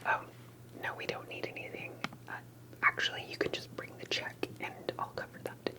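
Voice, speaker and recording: A woman whispers in a breathy, unvoiced voice, as in an ASMR roleplay. She plays an interviewer, asking things gently and hesitantly. Her phrases come with small pauses between them. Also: rough recording.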